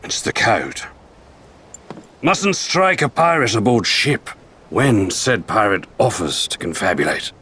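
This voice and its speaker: An actor playing a sly, evasive pirate captain in a video game, with a mannered, wordy delivery. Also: male voice, fairly low pitch, very animated, slow, sharp tone, husky, exaggerated voice. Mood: wry. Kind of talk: video game cutscene acting.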